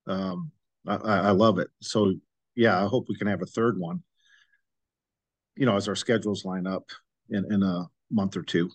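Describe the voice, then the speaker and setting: Male podcast host, low-pitched, dry, earnest, halting delivery. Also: steady tone, no room sound. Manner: halting, earnest, with frequent fillers. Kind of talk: casual conversational interview.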